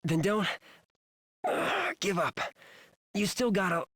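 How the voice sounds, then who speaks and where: A woman's voice: medium pitch, sing-song, slow, a bit bright, slightly rough, no room sound, exaggerated voice. An actress playing a bold, loyal and determined young female soldier in a video game, speaking with plucky, heartfelt conviction. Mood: strained, weakening. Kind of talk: dramatic video game voice acting.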